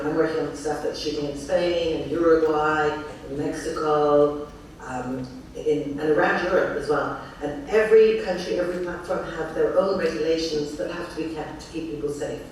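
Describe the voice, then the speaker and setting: Middle-aged Irish panelist, composed and articulate, heard from a distance in a reverberant hall. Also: female voice, low voice, moderate pace, a little hiss or hum. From Ireland. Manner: reflective, steady and articulate. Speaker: panelist, casting professional. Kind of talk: panel discussion. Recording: echoey hall from far off.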